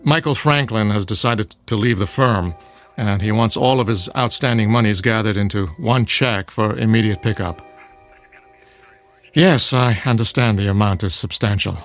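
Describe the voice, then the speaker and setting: An actor playing a formal, exasperated corporate executive in a radio drama. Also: male voice, lowish voice, moderate pace, natural voice. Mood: reluctant, businesslike. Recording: music behind.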